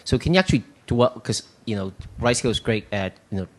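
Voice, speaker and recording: A man's voice: mid-range voice, animated, quick pace. A man in the audience asking a detailed question in a conversational style, often saying "you know".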